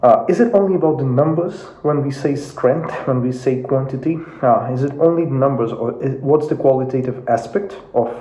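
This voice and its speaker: A man whose native tongue is Russian speaks in an unhurried, halting way, full of "uh"s and restarts, with a mellow, darker tone. He records in an office room without a real microphone, so the sound is roomy and a bit dull. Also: medium pitch. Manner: hesitant, many fillers and restarts. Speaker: YouTube commentator. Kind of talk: casual talk to camera. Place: home office. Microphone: no dedicated microphone.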